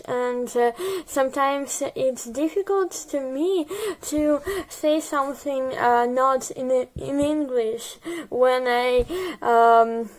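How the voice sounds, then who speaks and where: A woman's voice: sits high, low-quality recording. A young woman from Ukraine with a Ukrainian accent, whose first language is Russian, talking in a hesitant video diary and often stopping to search for words. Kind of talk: casual personal video diary.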